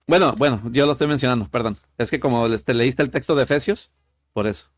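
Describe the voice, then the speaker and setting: A man's voice: mid-range voice, no room sound, studio quality. Hesitant Mexican man calling in. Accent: Mexican Spanish. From Tijuana, Mexico. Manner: hesitant, many fillers, quick bursts. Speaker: caller. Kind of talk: call-in debate.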